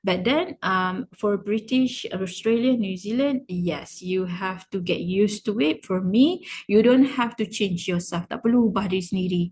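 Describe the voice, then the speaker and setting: A woman's voice: medium pitch, moderate pace, few pauses, darkish tone, slightly rough. A woman English teacher with a Malaysian accent, explaining informally and encouragingly, switching freely between English and Malay and repeating words for emphasis. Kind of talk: informal online class, explaining.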